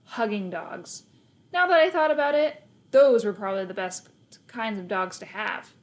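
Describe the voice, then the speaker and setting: A woman's voice: mid-range voice, animated, moderate pace. A woman narrating a children's novel aloud in the first person, expressively, with emphasis on key words. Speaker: storybook reader.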